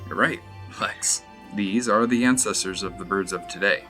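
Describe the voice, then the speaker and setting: A man playing a curious, reserved dinosaur expert with a measured, inquisitive manner in a fan audio drama. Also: sits low, pushed and bright. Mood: curious, guarded. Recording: music under the voice.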